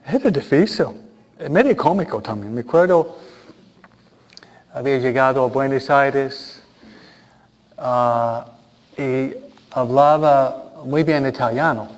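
Slow, halting priest preaching. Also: male voice, medium pitch, lo-fi sound. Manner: unhurried, hesitant, frequent rhetorical questions. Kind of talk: conversational sermon with humor.

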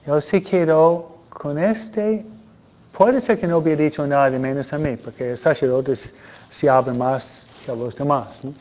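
A man's voice: a little high, unhurried, a little mellow. Man preaching Spanish. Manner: earnest, preaching with repeated pleas and rhetorical questions. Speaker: priest. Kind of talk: sermon. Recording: dull lo-fi sound.

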